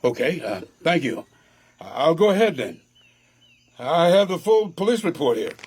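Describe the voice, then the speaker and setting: Genial Supreme Court judge, radio drama, pompous, formal, halting, slightly high pitch. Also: male voice, sing-song, a little mellow, breathy edge, heavily acted.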